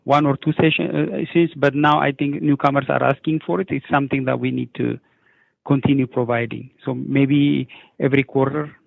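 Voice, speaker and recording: A middle-aged man with an Ethiopian accent and a creaky, gravelly edge gives unscripted interview answers. He speaks haltingly, doubling words and stumbling on their first sounds. The sound is dry and narrow, like a lo-fi radio feed. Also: mid-range voice, little pitch movement, no room sound. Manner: halting, repeats words and stammers on word starts. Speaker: newcomer program coordinator, interview guest.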